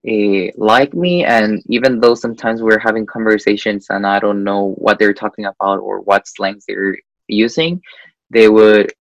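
Young man from Guatemala, dry and low. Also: moderate pace, no room sound, poor audio. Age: young adult. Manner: hesitant, frequent "eh" fillers, animated. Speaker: interview guest. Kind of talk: casual interview chat.

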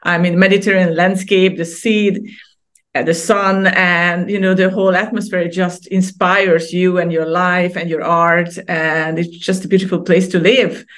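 A woman's voice: on the low side, flat delivery, normal speed. A middle-aged woman from Hungary, a podcast guest who chats, laughs often and restarts her sentences. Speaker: guest artist. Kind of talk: casual interview conversation.

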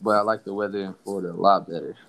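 A man's voice: low-pitched, rapid-fire, creaky. Laid-back young man hosting a podcast. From Somalia. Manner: relaxed, loose, joking. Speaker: podcast host. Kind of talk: casual podcast chat.

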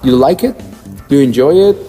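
A man's voice: mid-range voice, lively intonation, quick pace, dark tone, faint music behind. A man from Mexico with a Mexican Spanish accent, co-hosting a podcast, speaking hesitant English with many restarts. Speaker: podcast co-host, country singer. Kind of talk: casual interview chat.

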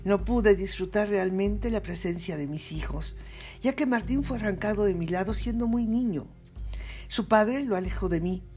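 A woman's voice: medium pitch, a little mellow, soft background music, lightly acted. A woman reading as a noble-born interpreter. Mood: solemn. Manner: measured first-person reading.

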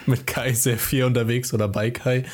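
A man's voice: mid-range voice, breathy edge. A man chatting casually as a podcast co-host, laid-back and hesitant, with many fillers. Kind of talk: casual chat.

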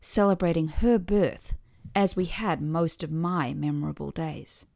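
A woman's voice: low-pitched, unhurried, gravelly, a little mellow, dry recording. A middle-aged woman reading a scripted first-person story with wry, dry humour. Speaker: podcast author and narrator.

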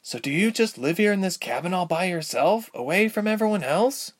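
A man's voice: high voice, lively intonation, brisk, pitched above the performer's own voice. A man voicing an earnest, impatient young woman adventurer in a storybook, polite but urgent and full of questions. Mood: anxious, questioning. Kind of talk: storybook character voice.

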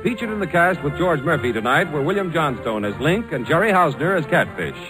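A man announces in formal 1940s radio style, with a fairly high, animated voice. His delivery is scripted and declamatory, heard as an old broadcast with music behind him. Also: a little fast, rough recording.

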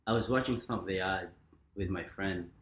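A young man who grew up in the Philippines, a dancer chatting as a podcast guest, relaxed and rambling with lots of fillers. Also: low voice, normal speed, dull audio, slight background noise. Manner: relaxed, rambling, many fillers and quoted asides. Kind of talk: casual interview chat. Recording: roomy echo.

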